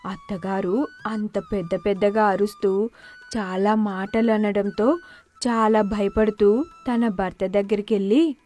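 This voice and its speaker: A woman's voice: mid-range voice, fairly even intonation, takes it slowly, warm tone, faint music behind. A woman narrator reading a scripted moral story.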